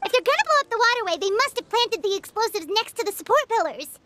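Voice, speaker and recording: A woman's voice: very high-pitched, very animated, quick pace, heavily acted. A woman voicing a small, plucky, loyal child in a video game, spirited and emphatic. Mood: battle excitement. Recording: loud music and crashing game effects.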